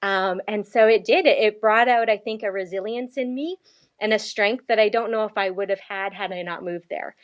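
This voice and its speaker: A woman's voice: mid-range voice, normal speed, clear, resonant tone, lo-fi sound. Earnest woman telling her story. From Canada. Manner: earnest, animated storytelling with frequent laughs. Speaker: guest, missionary. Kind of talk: conversational faith interview.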